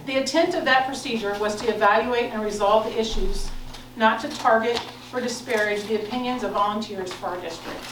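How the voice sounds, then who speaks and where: A woman's voice: on the high side, a little fast. An American woman reading a prepared official statement aloud in a formal style at an indoor meeting. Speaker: school board president. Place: indoor board of education meeting room. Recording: roomy, noisy, distant meeting-room recording.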